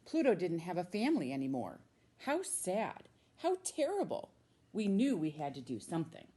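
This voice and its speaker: Woman, American accent, lowish voice, very lively intonation, teacher's read-aloud storytelling, stretched key words. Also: husky. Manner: expressive, drawn-out emphasis on key words. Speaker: middle school science teacher reading a children's book.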